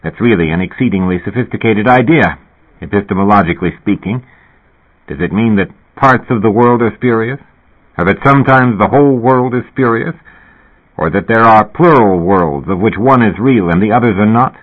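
A thoughtful man lays out one probing question after another, read by a male narrator. The voice sits on the low side. It sounds thin and tinny, like a phone line. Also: normal speed, close to an everyday voice. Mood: musing. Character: pondering man, philosophical questioner.

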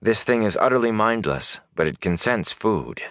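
A man voicing a grave, commanding sorceress who speaks in measured, solemn warnings for an audiobook. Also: lowish voice, expressive, fairly bright tone, dry recording, studio quality, pitched above the performer's own voice. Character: stern, commanding sorceress, grave and urgent woman.

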